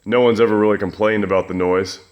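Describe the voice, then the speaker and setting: Casual man with a lowish voice. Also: fairly even intonation, fast talker, highs cut off. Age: adult with children. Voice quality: loud voice. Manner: rambling, folksy, many fillers and restarts. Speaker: home gym owner reviewing a fan. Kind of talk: casual product review vlog. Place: home basement gym.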